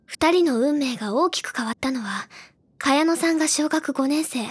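A woman voicing a bubbly, earnest teenage schoolgirl who tells stories in an expressive, chatty audio drama style. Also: sits high, moderate pace, close to an everyday voice. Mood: earnest storytelling. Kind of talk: Japanese audio drama acting. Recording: background music under the voice.

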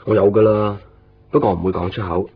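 A man's voice: fairly low pitch, level tone, fast, slightly rough. A young man with a Hong Kong Cantonese accent acting an easygoing, caring copy-shop boyfriend in a naturalistic radio drama, chatting casually. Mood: hopeful. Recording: dull radio-drama recording, faint music.

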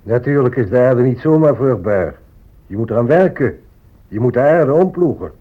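An actor playing a greedy, impatient settler negotiator with a husky voice and an insistent manner in a radio drama. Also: male voice, mid-range voice, lively intonation, moderate pace, close to an everyday voice. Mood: insistent, persuasive. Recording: muffled, narrow-band old radio recording.

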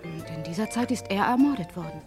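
An actress playing an emotional Paris nightlife performer and grieving mistress in a German radio crime drama, halting and dramatic. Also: female voice, fairly low pitch, lively intonation, music in the background, natural voice. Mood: agitated. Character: emotional female Paris nightlife performer, murdered doctor's lover.

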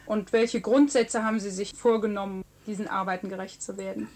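A woman's voice: mid-range voice, steady tone, brisk, a little mellow, a little hiss or hum. A woman radio interviewer putting a formal question in a 1940s style.

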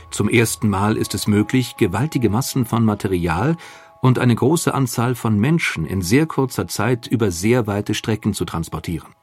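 A man narrating a history documentary in a calm, even, scripted way. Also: on the low side.

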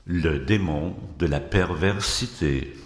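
A man's voice: extremely low pitch, slow, music in the background. A man giving a solemn scripted audiobook narration, recorded with an echoey hall reverb.

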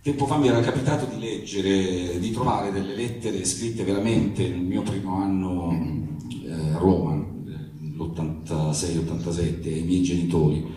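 A man's voice: sits low, fairly even intonation, mellow. A man informally introducing a reading, restarting and correcting his phrases as he goes. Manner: halting, self-correcting phrasing. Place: echoey room, picked up from afar. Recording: very echoey room, distant microphone.

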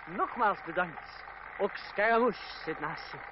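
An actor playing a proud, idealistic young gentleman turned stage player in an old radio drama, earnest and pointed. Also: sits high, lively intonation, a little slow. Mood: pleased. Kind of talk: old-fashioned Dutch radio drama acting. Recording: noisy, muffled old radio play recording.

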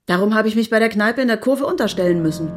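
A woman's voice: mid-range voice, lively intonation, fast talker, soft background music, close to an everyday voice. A woman playing a wife in an audio drama, conversational and matter-of-fact, slightly teasing as she recounts her day.

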